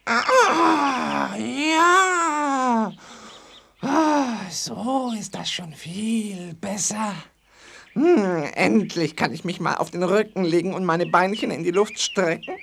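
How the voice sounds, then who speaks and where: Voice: medium pitch, vocal fry, slight background noise, full character voice. An actor playing a small, short-legged animal friend in a children's audio play, fussy, grumbling and given to dramatic sighs. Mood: weary, relieved, whiny. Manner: animated, drawn-out sighs and exclamations.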